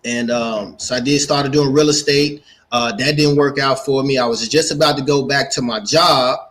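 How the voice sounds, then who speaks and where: A man's voice: mid-range voice, fairly even intonation, moderate pace, fairly bright tone. A man of forty-nine with an American accent, telling his story casually as an interview guest and repeating phrases for emphasis. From Gary, Indiana, United States. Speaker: guest, entrepreneur and speaker.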